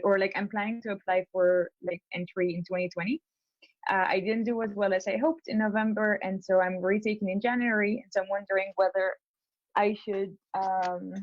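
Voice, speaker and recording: A woman's voice: mid-range voice, no echo, lo-fi sound. Young woman from Spain, hesitant. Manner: hesitant, many fillers and restarts. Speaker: law school applicant. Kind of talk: casual consultation call.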